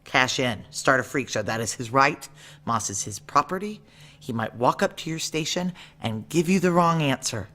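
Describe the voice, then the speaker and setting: An actress playing a tough, blunt security chief and ex-Ranger in a noir audio drama, with a clipped, wry, commanding way of talking. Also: female voice, rumbling low, lively intonation, normal speed, pushed and bright, exaggerated voice. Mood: firm, commanding.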